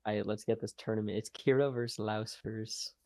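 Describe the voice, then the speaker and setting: A man playing in a casual tabletop game, making quick offhand remarks. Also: lowish voice, steady tone, darkish tone, no echo.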